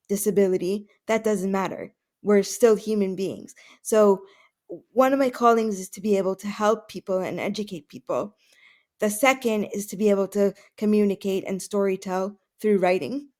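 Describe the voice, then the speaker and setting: A woman of middle pitch whose first language is Russian, talking in an earnest, searching way. She pauses often on fillers and corrects herself mid-sentence as she answers a podcast host. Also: darkish tone. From Canada.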